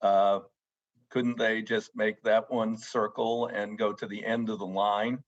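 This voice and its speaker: Low voiced guy grilling witnesses at a hearing, informal and halting, monotone, muffled sound. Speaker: committee member questioning witnesses. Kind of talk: questioning at a formal hearing. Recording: dull, lo-fi meeting audio.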